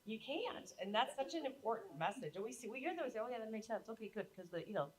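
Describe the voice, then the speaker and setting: Animated woman moderator working the crowd; audience clearly audible. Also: a little high, fast talker. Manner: lively, engages the audience with questions. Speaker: panel moderator. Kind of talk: moderating a live panel. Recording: live audience audible in the room.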